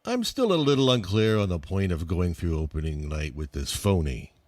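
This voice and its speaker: An actor playing a tough, stern police lieutenant who talks bluntly and demandingly in a detective radio play. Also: male voice, low-pitched, sing-song, normal speed, a little mellow, no echo. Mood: puzzled. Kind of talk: 1940s radio detective drama acting.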